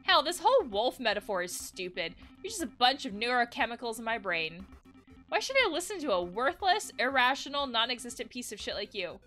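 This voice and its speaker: A woman's voice: fairly high pitch, very animated, fairly quick, pushed and bright, soft background music. A woman voicing a stressed, angry young human from a game, exasperated and emphatic. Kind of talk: game dialogue read aloud.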